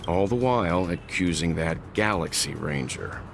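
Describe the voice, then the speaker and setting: An actor playing a grave, methodical mature man in a video game story, speaking seriously and with deliberate reserve. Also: sits low, animated, vocal fry. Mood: grave, guarded. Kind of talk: scripted game story dialogue. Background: background music.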